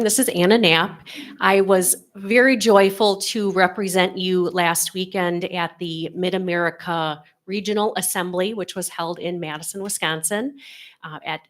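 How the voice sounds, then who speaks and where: Earnest woman with a low voice. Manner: earnest, with many ums and restarts. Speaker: congregation president. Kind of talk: announcement to congregation.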